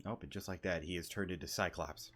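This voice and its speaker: A man's voice: low voice, fairly even intonation, fast talker, fairly bright tone, faint music behind. A young man streaming a game with casual, slangy, sweary commentary and joking asides. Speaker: gaming streamer.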